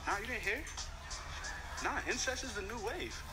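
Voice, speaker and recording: A man's voice: very high voice, lively intonation, moderate pace, loud music behind the voice. A young man with a slangy, insistent, repetitive way of talking, as if on a phone call. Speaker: prankster on a fake phone call. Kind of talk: staged casual phone conversation.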